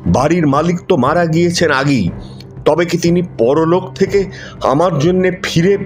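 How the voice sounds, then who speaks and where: A man narrating a horror story in the first person, dramatic and suspenseful, with pauses for effect. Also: fairly high pitch. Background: music.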